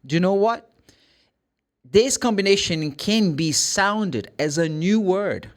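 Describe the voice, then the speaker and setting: Brazilian guy teaching english, native portuguese speaker, kinda high voice, really swingy and emphatic, chatty lesson style. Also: animated, slow pace. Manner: emphatic, asks and answers own questions, acts out short examples. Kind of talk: informal pronunciation lesson.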